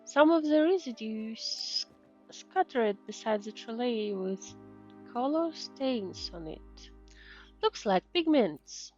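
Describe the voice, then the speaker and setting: A woman's voice: a little high, lively intonation, slow, a little mellow, lo-fi sound. A woman game streamer giving casual commentary in short murmured reactions and reading dialogue aloud. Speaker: streamer playing a game as a VTuber. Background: game music.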